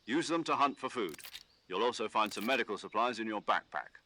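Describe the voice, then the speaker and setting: A man voicing a calm commanding officer who gives instructions over the radio in a game. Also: on the low side, a little hiss or hum. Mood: steady. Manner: measured, instructive briefing tone. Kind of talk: game dialogue.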